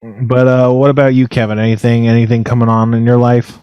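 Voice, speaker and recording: A man's voice: mid-range voice, little pitch movement, brisk, smooth, no room sound, muffled recording. A man hosting a casual podcast chat, teasing his guests and laughing often. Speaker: podcast host.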